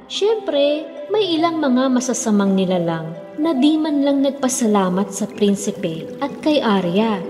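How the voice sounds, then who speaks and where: A woman's voice: on the high side, sing-song, a little slow, mellow. A woman narrating a children's fairy tale as a storyteller, in a scripted style. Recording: long reverb, music behind.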